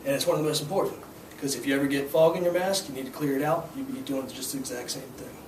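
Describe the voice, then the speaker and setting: A hoarse young man teaching a scuba class, explaining gear and skills in a casual, filler-heavy way. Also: mid-range voice, fast. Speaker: scuba instructor. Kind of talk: hands-on demonstration to a class. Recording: echoey room, distant mic, noisy.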